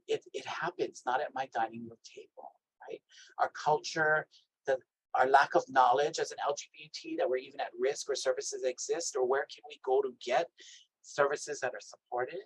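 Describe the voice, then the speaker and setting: A man from Hawaii with a naturally high voice, giving an emphatic workshop talk over a video call and checking in with "right?". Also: poor audio. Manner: lively, emphatic, asks "right?" often. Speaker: health equity trainer. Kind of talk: workshop presentation.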